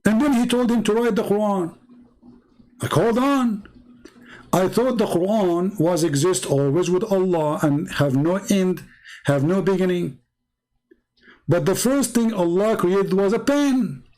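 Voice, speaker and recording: A man's voice: high-pitched, measured pace, a little mellow, rough recording. Arabic-accented man, sarcastic debater. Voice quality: broken, non-native grammar. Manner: argumentative, sarcastic rhetorical questions. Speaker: religious debate commentator. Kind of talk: polemical commentary.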